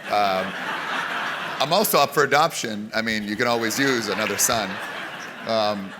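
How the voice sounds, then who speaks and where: A man's voice: fairly low pitch, normal speed, background noise. A man hosting an interview, playful and joking, with fillers between questions. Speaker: interviewer, show host. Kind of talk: casual interview chat.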